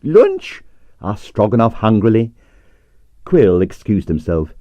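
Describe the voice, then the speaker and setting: Expressive male audiobook narrator. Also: medium pitch, moderate pace, no echo, lo-fi sound. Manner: expressive, lively storytelling. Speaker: audiobook reader.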